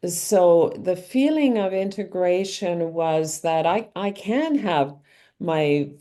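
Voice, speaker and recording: A middle-aged woman being interviewed about her life, answering in a thoughtful, measured way with frequent ums and restarts. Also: on the low side, lively intonation, mellow. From Canada. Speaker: author and guest.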